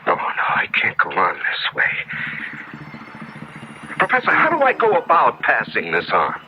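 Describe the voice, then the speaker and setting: An actor playing an anxious, desperate journalist in a 1970s radio drama, speaking with halting, emphatic urgency. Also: male voice, lowish voice, wildly swooping pitch. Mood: disbelieving, anxious. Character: anxious male journalist desperate to escape a death curse. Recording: muffled, noisy 1970s radio drama recording.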